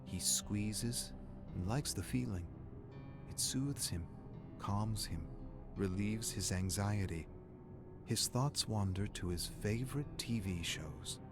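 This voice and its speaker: Low-voiced man narrating dark horror. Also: slow, music in the background. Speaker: story narrator. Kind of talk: dramatic scripted narration of a dark horror tale.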